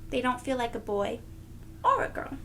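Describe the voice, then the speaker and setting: A woman reading a children's picture book aloud as a storyteller, in a deliberate, teacherly, sing-song way for young listeners. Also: on the high side, big pitch swings, gravelly, bright, forceful tone, a little hiss or hum.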